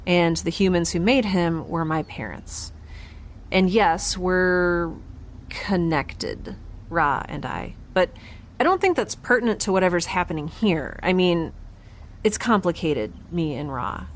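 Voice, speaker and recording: An actress playing a guarded, flustered young woman in a sci-fi audio drama, halting, defensive and rambling in a naturalistic style. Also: lowish voice, lively intonation, a little hiss or hum. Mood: overwhelmed.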